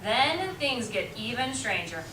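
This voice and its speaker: A woman's voice: fairly high pitch, very animated, unhurried. A woman reading scripted story narration for amateur reader's theater. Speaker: teacher, narrator. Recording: echoey room, distant mic, clear noise.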